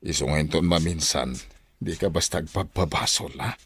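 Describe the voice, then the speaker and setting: A man playing a stern but fair uncle in a radio drama, admonishing and expressive, with an Ilocano Filipino accent. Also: rumbling low, normal speed, vocal fry, slightly rough, no room sound, heavily acted. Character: stern, fair-minded uncle, middle-aged head of household.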